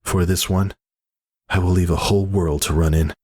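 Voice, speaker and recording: Guy doing tired pegasus mom, quietly defiant, earnest, husky voice nudged higher, story reading. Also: steady tone, moderate pace. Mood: earnest, proud. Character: weary defiant pegasus mare, tender young mother. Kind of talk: dramatic fan fiction reading.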